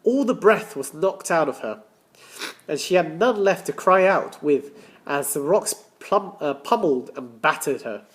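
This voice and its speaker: A man's voice: on the high side, animated, a little slow. Guy teacher doing story time, reads it out with drama, stops to ask questions. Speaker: primary school teacher. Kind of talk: children's story reading with questions to listeners.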